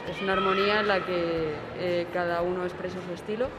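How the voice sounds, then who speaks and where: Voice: medium pitch, little pitch movement, fast, heavy background noise. A band member describing the group's mixed style, hesitating often. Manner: hesitant, many fillers. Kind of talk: casual interview.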